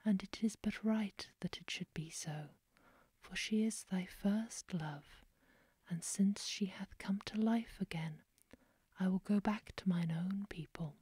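A woman voices a calm, selfless young princess. She speaks in a gentle, breathy whisper, slow and steady, with a mellow, dark tone. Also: mid-range voice, dry recording. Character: calm, brave young princess, the second wife.

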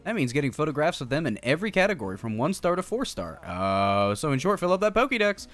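A man's voice: a little high, very animated, quick pace, a bit bright. A man in his thirties doing casual, jokey gaming commentary, thinking aloud and swearing now and then. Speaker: game streamer. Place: indoor streaming setup. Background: video game music.

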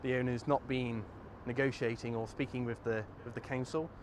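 A man in his thirties answers in a news interview, his pitch medium and quite flat. He is outdoors, with faint traffic noise behind him. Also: fairly quick. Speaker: local councillor interviewee. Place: outdoors by greenery.

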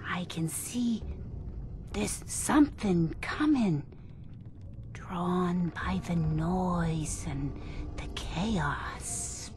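An older woman playing a frail, folksy old seer in a video game, speaking slowly and haltingly. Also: fairly low pitch, over music. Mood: ominous, halting. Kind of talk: game voice acting.

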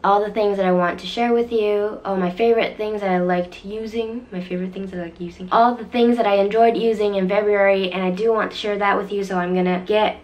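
A chatty young woman in her twenties talks to a camera in front of her desk in a small bedroom. Her voice sits at an ordinary middle pitch but still runs a little deeper than usual after losing it. She rambles freely, restarting and fixing her words as she goes. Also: steady tone, fairly quick, hardly any pauses, darkish tone, a little hiss or hum, rough recording. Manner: chatty, filler-heavy, often restarts and corrects herself. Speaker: vlogger. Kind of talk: casual chat to camera. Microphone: camera in front at desk distance.

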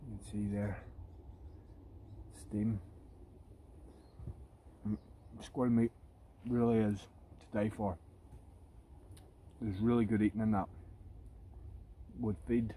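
Low-voiced man, casual outdoor vlogger. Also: little pitch movement, takes it slowly, long pauses, dark tone. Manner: informal, explanatory, addressing viewers as guys. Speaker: bushcraft vlogger. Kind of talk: casual outdoor tutorial talk to camera. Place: outdoors in woodland camp. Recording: outdoor ambient noise.

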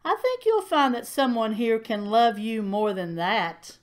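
Gentle mother pig, soothing, slow, kindly, storybook, woman reading it. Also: fairly high pitch, expressive. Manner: soothing, unhurried.

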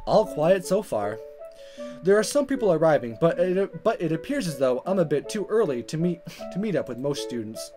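A woman let's player reading a visual novel aloud in expressive narration with informal asides and stumbles over the script. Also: deep voice, normal speed. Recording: music behind the voice.

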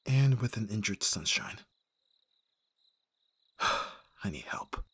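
A man's voice: lowish voice, animated, takes it slowly, a bit bright, husky, heavily acted. A man playing an abrasive but devoted bodyguard in an audio roleplay, intense and given to sighing and swearing. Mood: panicked, self-talk. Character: abrasive male bodyguard, desperate and devoted to his client.

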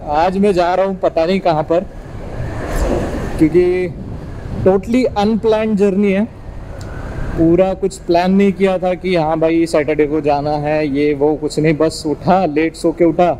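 A man's voice: fairly high pitch, steady tone, a little slow, warm tone, rough recording. A young man with an Indian accent chats informally as a motovlogger, mixing in English while he rides a motorbike outdoors. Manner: informal, chatty. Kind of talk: casual vlog chat while riding, Hindi mixed with English. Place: outdoors, riding a motorbike on village roads. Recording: clear vehicle and road noise. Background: engine and road noise.

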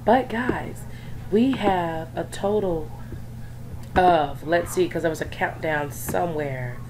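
A young woman, hoarse from being sick, chatting in a rambling, casual way with lots of fillers while talking over a screen recording. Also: fairly low pitch, takes it slowly. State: hoarse from illness. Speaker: gaming YouTuber. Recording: faint background noise.

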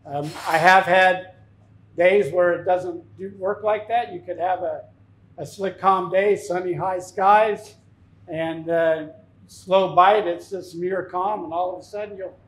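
A man's voice: high voice, fairly even intonation, unhurried. A man in his fifties giving an informal, plain-spoken seminar talk as a fishing captain, telling anecdotes. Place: tackle shop, indoors, speaking to an audience. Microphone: lapel mic. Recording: echoey shop room.

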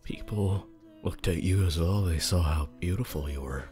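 A man voicing a shy, gentle, apologetic young wolf student in an ASMR roleplay, hesitant, stammering and soft-spoken. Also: sits low, moderate pace, faint music behind, close to an everyday voice. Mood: tender, admiring.

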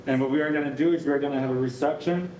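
A man's voice: mid-range voice, steady tone, very fast, mellow, a little hiss or hum. Young man, distant in echoey room. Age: young adult. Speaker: event co-host. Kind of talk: informal closing remarks to audience. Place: large bare concrete rehearsal hall.

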